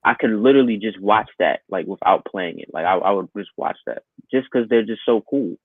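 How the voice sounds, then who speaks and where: A man with a fairly low voice chats as a podcast co-host. He is informal and blunt with his opinions. The audio is narrow like a phone line, with little room sound. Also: a little fast, breathy edge, no room sound. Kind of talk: casual pop culture podcast chat. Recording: thin phone-like band-limited sound.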